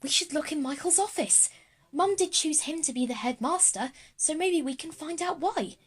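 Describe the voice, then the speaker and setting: A woman's voice: sits high, lively intonation, normal speed, voice pushed up. A woman voicing a curious, bold schoolgirl who leads her friends in an audio drama. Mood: scheming, persuasive.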